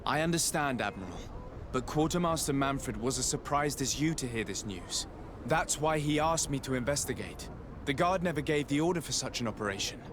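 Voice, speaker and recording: Man as a courteous, composed diplomat, mid-pitched. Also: expressive, noisy background, close to an everyday voice. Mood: polite, earnest. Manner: polite, formal, measured. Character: courteous, earnest male diplomat, composed investigator. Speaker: game protagonist actor. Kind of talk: dramatic video game dialogue.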